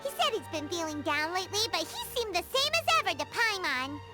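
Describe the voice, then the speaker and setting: A woman voicing a small, excitable, childlike fairy companion in a video game, with a squeaky voice and exclamatory way of talking. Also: brisk. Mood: suspicious. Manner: refers to self in third person, exclamatory. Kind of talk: animated video game voice acting. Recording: music behind the voice. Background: game music.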